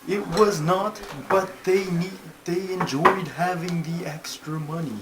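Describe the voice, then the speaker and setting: A man voicing a puppet turtle who tells stories in an earnest, bossy, deliberate way with formal wording. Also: high-pitched, fairly even intonation. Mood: earnest storytelling. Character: Terry, a slow, earnest, bossy storytelling male turtle puppet. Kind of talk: storytelling in a puppet comedy. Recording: clearly noisy homemade recording.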